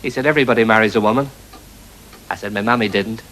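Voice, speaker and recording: A man's voice: fairly low pitch, fast, close to an everyday voice. A man playing a cheerful, daft young pantomime servant in chatty comic patter. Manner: chatty, gormless, bouncy. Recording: noisy 1980s TV recording.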